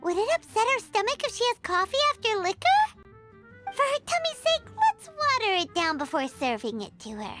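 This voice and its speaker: A woman voicing a tiny, excitable, childlike fairy companion in a video game, chirpy in manner. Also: very high voice, moderate pace. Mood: worried, curious. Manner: refers to self in third person, chirpy. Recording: music behind the voice. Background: background music.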